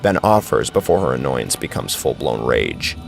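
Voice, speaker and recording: A man's voice: extremely low pitch, big pitch swings, moderate pace, creaky. A man narrating a scripted horror story as a brooding, dramatic storyteller. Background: faint music.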